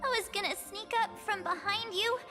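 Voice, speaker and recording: A woman voicing a sweet, cheerful teenage girl in a video game, girlish and expressive. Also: very high-pitched, normal speed, music in the background. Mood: delighted, playful. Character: cheerful teenage girl reuniting with her friends, sweet and playful.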